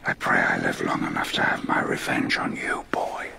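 An actor playing a bitter old dying king, hissing venomously in a breathy, straining rasp for an audio drama. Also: rumbling low, monotone, normal speed, sharp tone, in a whisper, exaggerated voice. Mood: venomous, failing.

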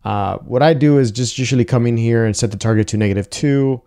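A young man giving a casual software tutorial, talking through each step with frequent "uh" and "um" fillers, on a lapel mic. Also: medium pitch, fast talker, clear, resonant tone. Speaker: video editing tutor. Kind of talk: screen-recorded software tutorial. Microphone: wireless lapel mic.

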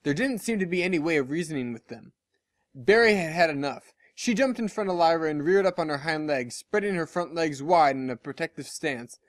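Expressive story narrator, dry close sound. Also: mid-range voice, a little fast, no echo. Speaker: story reader. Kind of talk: scripted narration of fan fiction with character voices.

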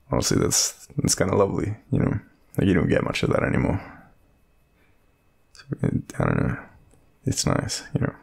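An extremely low, crackling male voice with an Australian accent, a man acting out a shy young guy smitten with his friend. He murmurs slowly and haltingly, with lots of breath, in an intimate romantic roleplay. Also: exaggerated voice. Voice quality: gravelly, breathy, very deep. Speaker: audio roleplay performer.

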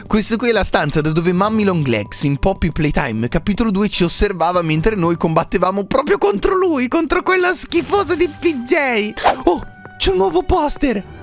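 Animated, high-pitched young man, gaming. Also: normal speed, faint music behind. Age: young adult. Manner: playful, animated, often breaking into giggles. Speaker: gaming YouTuber. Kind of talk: excited game commentary.

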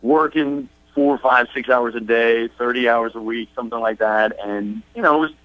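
A man's voice: medium pitch, steady tone, moderate pace, no echo. A man in his late twenties calling a radio show by phone, chatting casually and confessionally. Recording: dull phone call, dry.

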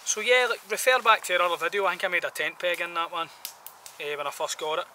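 Bright-voiced man, outdoors. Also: sits high, steady tone, quick pace. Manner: informal, colloquial, rambling. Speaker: bushcraft gear reviewer. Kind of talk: casual outdoor product review to camera. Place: outdoors in a woodland camp. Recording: distant outdoor mic, some background noise.

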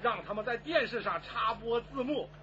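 A man's voice: extremely high pitch, moderate pace, pushed and bright. A man playing a grateful, elated senior police chief on a call in a radio drama. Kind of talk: radio drama acting, phone conversation. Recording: dull sound with faint noise.

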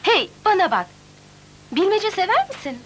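A woman voicing a plucky, clever little girl heroine in a Turkish-dubbed children's cartoon, cheeky, animated and determined. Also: very high-pitched, moderate pace, slight background noise, full character voice. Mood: crafty.

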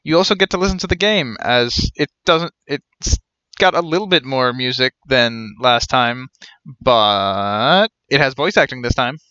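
A man's voice: medium pitch, a little slow, pushed and bright, smooth, no echo, muffled recording. A young man giving casual, rambling live commentary as he streams a game.

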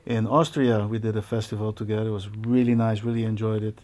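A man's voice: on the low side, steady tone, fast talker, a little mellow. A man in his forties with a Dutch accent, a host chatting casually with fellow musicians. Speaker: host, percussionist. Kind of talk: casual interview. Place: indoor music studio with stage setup.

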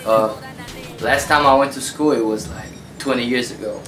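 An adult man whose first language is Korean, chatting casually as an interview guest, with a relaxed, halting manner full of fillers and restarts. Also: medium pitch. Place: small dark studio room, indoors. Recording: roomy, distant sound with music behind.

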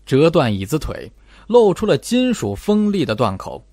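A man's voice: fairly high pitch, wildly swooping pitch, normal speed, darkish tone, no room sound. A man reading a suspense novel aloud as a dramatic scripted narration. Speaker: audiobook narrator.

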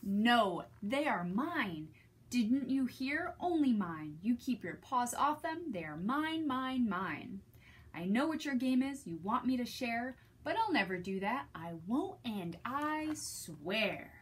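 A woman voicing a greedy, selfish male pug dog who grumbles and shouts that everything is his. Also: fairly high pitch, sing-song, takes it slowly, breathy edge, exaggerated voice. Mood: possessive.

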